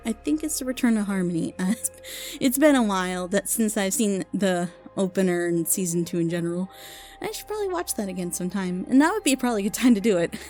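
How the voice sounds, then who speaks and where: A woman's voice: mid-range voice, lively intonation, fast, a little mellow, faint music behind. A woman giving casual, unscripted recommendations in an enthusiastic, rambling way, as a fan reviewer. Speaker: reviewer recommending audio dramas.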